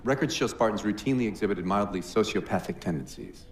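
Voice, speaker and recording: An actor playing a cold, probing military interrogator who speaks in a formal, deliberate, accusing way in a game cinematic. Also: male voice, on the low side, expressive. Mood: probing, cool. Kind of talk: dramatic game cinematic acting. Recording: roomy echo with faint noise.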